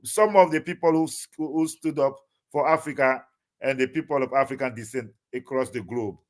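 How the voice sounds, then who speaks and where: Halting man with many fillers. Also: mid-range voice. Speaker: guest. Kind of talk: casual interview talk.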